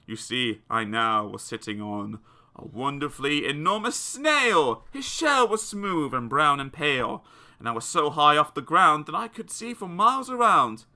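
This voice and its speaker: Man for children's verse audiobook: expressive, theatrical, fairly high-pitched, strikingly bright and forceful. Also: moderate pace. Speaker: audiobook reader. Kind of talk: dramatic children's verse narration.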